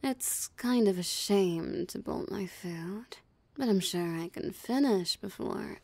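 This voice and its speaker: Slow, gravelly, smug female vampire, acted by a woman. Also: medium pitch, darkish tone. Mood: dismissive. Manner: teasing, drawling, theatrically smug, breathy asides. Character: possessive, mocking female vampire toying with a hunter. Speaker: voice actor. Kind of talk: ASMR roleplay audio drama.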